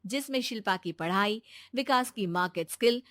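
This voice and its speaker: A woman's voice: medium pitch, normal speed, a bit bright, no echo, studio quality. A woman narrating a scripted moral bedtime story as a storyteller.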